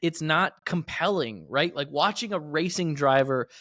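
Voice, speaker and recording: A man's voice: on the high side, animated, moderate pace, pushed and bright, dry recording. Conversational American man, dry podcast sound. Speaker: podcast co-host and professional racing driver. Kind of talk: casual motorsport podcast discussion.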